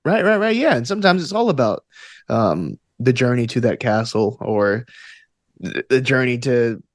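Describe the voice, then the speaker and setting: A man's voice: mid-range voice, sing-song, moderate pace, no room sound, studio quality. A man speaking as a podcast guest in casual, reflective conversation, earnest and encouraging, often repeating phrases for emphasis. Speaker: podcast guest, actor and game master.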